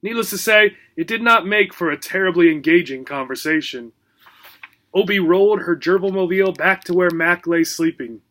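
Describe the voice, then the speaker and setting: A man's voice: high-pitched, normal speed, a bit bright. A man narrating a children's book as a teacher, expressively and with theatrical emphasis.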